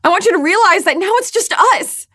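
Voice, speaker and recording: A woman's voice: very high voice, expressive, brisk, sharp tone, heavily acted. A woman playing an impulsive, anxious schoolgirl in a modern audio drama, with an emotional, exclamatory delivery. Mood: angry, pleading. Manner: emotional, exclamatory, swinging between outbursts and muttering.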